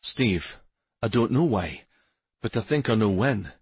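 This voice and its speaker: A man's voice: fairly low pitch, animated, unhurried, breathy edge, dry recording, heavily acted. A man voicing a prince's loyal male companion in an audiobook, frank, earnest and concerned.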